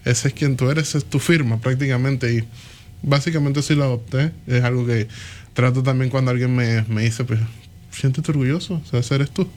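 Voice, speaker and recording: A man from Venezuela with a Venezuelan accent, a guest on a radio show, talking in a relaxed way with hesitant restarts. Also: medium pitch, moderate pace, slight background noise. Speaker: credit union guest. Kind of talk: casual interview talk.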